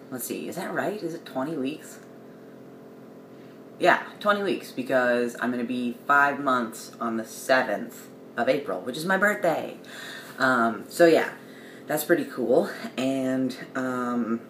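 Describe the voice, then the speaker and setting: Young man, casual vlog, rambling, mid pitch, roomy room echo. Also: takes it slowly, fairly bright tone. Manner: rambling, many ums and restarts, light laughs. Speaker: vlogger. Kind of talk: casual video diary. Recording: roomy echo with faint background hiss.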